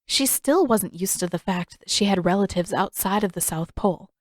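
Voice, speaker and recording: A woman's voice: mid-range voice, animated, moderate pace, dry recording. Woman narrating a story, dry close sound. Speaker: fan fiction narrator. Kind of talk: scripted audiobook narration.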